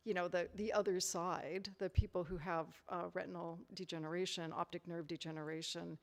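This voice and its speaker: A woman's voice: fairly low pitch, fairly even intonation, brisk. A woman lecturer in a hall, answering audience questions thoughtfully with lots of "you know" fillers and restarts. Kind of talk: conference Q&A answers.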